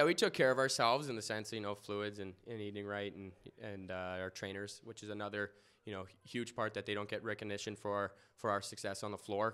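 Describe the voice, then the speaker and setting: A young man answering reporters after a game in an indoor press room, humble and halting, with many fillers and restarts. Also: on the low side, a bit bright, clear, resonant tone. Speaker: lacrosse goalie. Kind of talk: post-game press interview. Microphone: podium mic in front.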